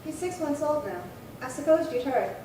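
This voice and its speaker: A woman playing a gentle, careful frontier mother in a Western radio drama, soft-spoken and polite. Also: expressive, normal speed. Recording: echoey hall, distant mic, rumbly noise.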